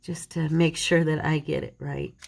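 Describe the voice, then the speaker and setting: A woman with a slight cold talks her way through a paper-folding project, chatty and quick to poke fun at her own mistakes. Her voice sits low with a gravelly edge, and a faint hiss runs behind her. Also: fairly even intonation. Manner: chatty, self-deprecating, talks while working. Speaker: paper crafter. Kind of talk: casual crafting tutorial.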